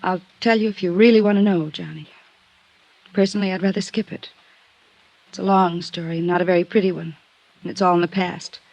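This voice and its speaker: A woman's voice: fairly low pitch, fairly even intonation, brisk, pauses often, darkish tone, dry recording, natural voice, rough recording. An actress playing a stranded, pleading, world-weary American woman in a 1950s radio noir drama, earnest and guarded. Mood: weary, wistful.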